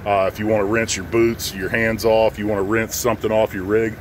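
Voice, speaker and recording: Low-voiced American man, casual. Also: little pitch movement, a little fast. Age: middle-aged. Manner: informal, plain-spoken. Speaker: pressure washing business owner and channel host. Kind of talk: casual how-to vlog, unscripted. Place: outdoors in a residential driveway. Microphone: handheld phone at arm's length. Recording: outdoor phone audio with light background noise.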